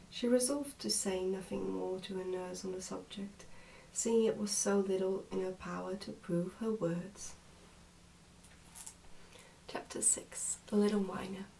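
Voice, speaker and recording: A woman reading a storybook as soft-spoken, measured ASMR narration. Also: medium pitch, gravelly, reverberant, recorded from a distance, slight background noise, rough recording. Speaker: storybook reader.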